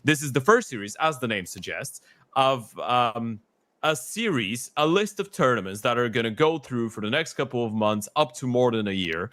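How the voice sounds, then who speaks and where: A man casting an esports tournament, filling a delay with relaxed, easygoing chat. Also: medium pitch, normal speed, no echo. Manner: relaxed, easygoing, lots of fillers. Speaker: esports caster. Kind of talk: filling airtime with casual chat.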